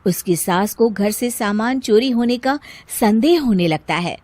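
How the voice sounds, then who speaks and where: Slow, animated woman storyteller. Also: mid-range voice, no echo. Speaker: story narrator. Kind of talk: scripted cartoon story narration.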